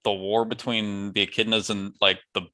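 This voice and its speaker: A man's voice: fairly low pitch, little pitch movement, moderate pace, pushed and bright. A man co-hosting a podcast, talking casually with hesitant, hedging phrasing. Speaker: podcast co-host. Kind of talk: casual movie discussion.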